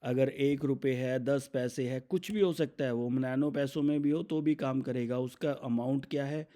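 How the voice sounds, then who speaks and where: A man with an Indian accent narrates a software demo in a conversational, step-by-step way, often posing questions and answering them himself. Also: mid-range voice, fairly even intonation, normal speed. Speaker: software product demonstrator. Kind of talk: explanatory software demo narration, semi-scripted.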